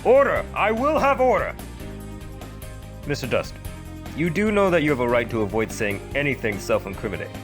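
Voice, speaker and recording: A man's voice: mid-range voice, big pitch swings, normal speed, long pauses, music in the background, natural voice. A man playing a stern but fair courtroom judge in an amateur audio drama, formal and authoritative. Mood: commanding. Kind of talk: fan audio drama acting.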